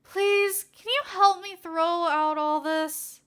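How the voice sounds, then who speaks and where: A woman's voice: very high-pitched, steady tone, takes it slowly, a bit bright, voice pushed up, full character voice. A woman voicing an exasperated, whiny young female sidekick in a story reading, pleading and emphatic.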